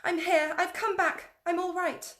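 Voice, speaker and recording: A woman's voice: moderate pace, natural voice. A woman voicing a polite, eager young English girl in a read-aloud story. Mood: excited. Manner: high excited girlish voice.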